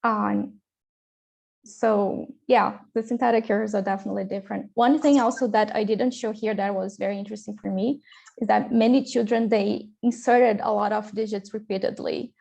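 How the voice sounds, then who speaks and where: A woman's voice: medium pitch, fairly even intonation, normal speed, gravelly, darkish tone. A woman presenting research in an online academic panel, talking with hesitant fillers and restarts.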